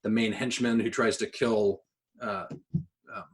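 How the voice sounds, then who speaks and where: A man's voice: mid-range voice, sing-song, unhurried, gravelly. A man co-hosting a casual podcast, talking enthusiastically and swearing freely. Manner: profane, enthusiastic. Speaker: podcast co-host.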